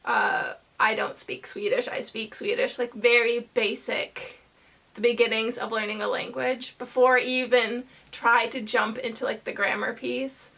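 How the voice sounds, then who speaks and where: High, bright, creaky-voiced woman chatting slowly. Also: flat delivery, low-quality recording. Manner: hesitant, filler-heavy rambling with frequent pauses. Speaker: vlogger. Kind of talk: casual chat to camera.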